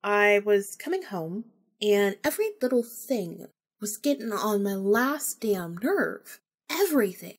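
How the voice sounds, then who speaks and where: Female podcast host, medium pitch, conversational personal storytelling with informal, acted-out dialogue. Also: measured pace, husky. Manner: animated retelling, acting out remembered dialogue, informal with swearing.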